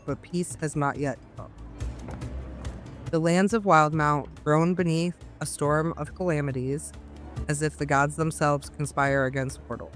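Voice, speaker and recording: A man running a tabletop game as game master, narrating the setting in a dramatic, measured way. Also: fairly high pitch, fairly bright tone.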